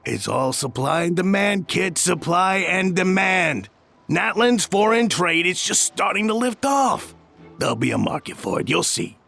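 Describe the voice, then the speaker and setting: An actor playing a cocky, scheming smuggler boss in a video game, with a swaggering, condescending manner. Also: male voice, sits high, expressive, measured pace, vocal fry, a bit bright, faint music behind, heavily acted. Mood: smug, condescending. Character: cocky, scheming male smuggler boss lecturing his crew.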